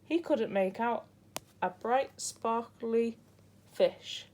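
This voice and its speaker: A woman's voice: a little high, extremely slow, darkish tone, a little hiss or hum. A woman narrating a children's picture book as a storybook reader.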